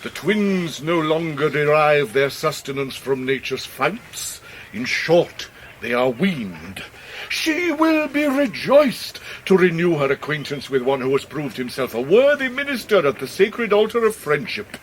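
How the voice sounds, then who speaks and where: A pompous, swooping English gentleman. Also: fairly high pitch, very animated, a little slow. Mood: florid, courteous. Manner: grandiloquent, florid, theatrical delivery. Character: pompous, genial, hard-up middle-aged Victorian gentleman. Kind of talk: 1990s British radio drama acting. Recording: noisy radio drama recording.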